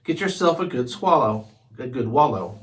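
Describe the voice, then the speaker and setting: A man's voice: medium pitch, lively intonation, moderate pace, fairly bright tone, natural voice, low-quality recording. A man voicing an earnest young Viking boy in a storybook, explaining himself patiently.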